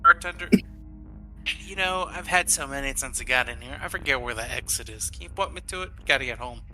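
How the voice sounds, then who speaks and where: A man's voice: medium pitch, lively intonation, a little fast, sharp tone, faint music behind. A man playing a tabletop game, chatting casually in his character's role.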